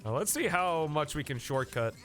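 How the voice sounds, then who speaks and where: A man's voice: fairly high pitch, animated, moderate pace, a bit bright, soft background music. Sniffly man casually streaming a game. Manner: casual, chatty, thanks viewers by name. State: sniffly with a coming cold. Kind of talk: live game commentary.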